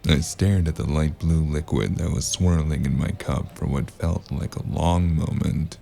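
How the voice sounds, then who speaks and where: Man acting a very deep gravelly noir investigator. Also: steady tone, unhurried, mellow, faint music behind, heavily acted. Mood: brooding, observant. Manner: dry, hard-boiled, laconic. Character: gruff, wary noir investigator narrating his own case. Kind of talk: noir audio drama narration and dialogue.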